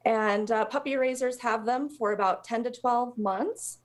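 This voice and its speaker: A woman's voice: on the high side, unhurried. An American woman speaking as a guest in a live television interview, explaining volunteer work in a conversational way for a charity. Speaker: interview guest, service dog charity representative.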